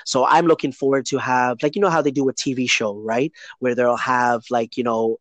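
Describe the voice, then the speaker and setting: A man's voice: medium pitch, little pitch movement, moderate pace, no echo. A man hosting a daily podcast, chatting casually in a rambling way and often tagging "right?" onto his points. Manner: rambling, keeps asking "right?". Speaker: podcast host.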